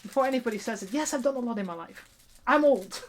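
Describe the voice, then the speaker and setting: A woman's voice: on the high side, lively intonation, fast talker. A woman in her forties chatting casually on a live stream while sewing, rambling and laughing at herself. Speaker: cosplay streamer.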